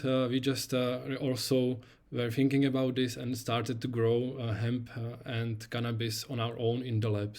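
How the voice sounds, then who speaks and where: A man's voice: medium pitch, a little mellow. A man from the Czech Republic, a Czech native speaker, presenting a research talk over slides in a hesitant way, with many fillers and repeated words.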